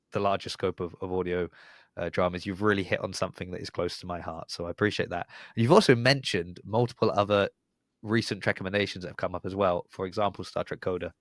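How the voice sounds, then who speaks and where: A man with a fairly low voice, recorded close and dry. He hosts a casual podcast, rambling along chattily with a wry, self-aware manner. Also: fairly bright tone, dry recording. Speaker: podcast host.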